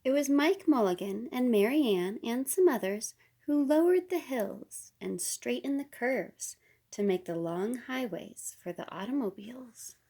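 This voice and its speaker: A woman reading a children's picture book aloud as a storyteller, unhurried and with deliberate pauses. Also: fairly high pitch, lively intonation, dark tone. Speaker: storybook reader.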